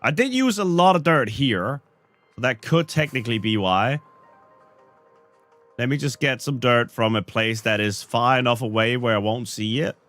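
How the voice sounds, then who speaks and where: A man's voice: mid-range voice, expressive, moderate pace, fairly bright tone, faint music behind. A man with a Danish accent, joking and rambling to his chat while he plays a game, a little under the weather. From Denmark. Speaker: streamer. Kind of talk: live-stream chat while playing a game.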